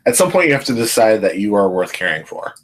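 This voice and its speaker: A man's voice: lowish voice, very animated, fast. A man co-hosting a casual podcast chat, thoughtful and a little hesitant, backing his partner with short affirmations. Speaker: podcast co-host and spiritual director.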